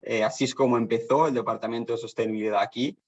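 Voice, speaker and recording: A man with a Spanish accent gives an online seminar talk from his office, stopping and restarting often and filling pauses with "eh" and "em". His pitch sits in an ordinary middle range. The sound is muffled and lo-fi, like a video call. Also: steady tone. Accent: Spanish from Spain. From Spain (Barcelona), half Danish. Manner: hesitant, many fillers and restarts. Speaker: guest lecturer, sustainability consultant. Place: office in Copenhagen.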